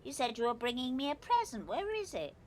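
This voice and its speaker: A woman's voice: high-pitched, huge pitch swings, a little fast, slight background noise. An actress playing a pushy, teasing young girlfriend in an audio play, blunt and demanding.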